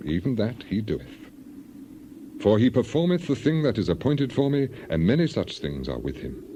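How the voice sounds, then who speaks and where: A man's voice: lowish voice, noisy background. A man playing an aggrieved, devout ancient sufferer in a dramatized scripture reading, solemn and declamatory. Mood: solemn, steadfast. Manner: solemn, declamatory, emphatic, archaic phrasing.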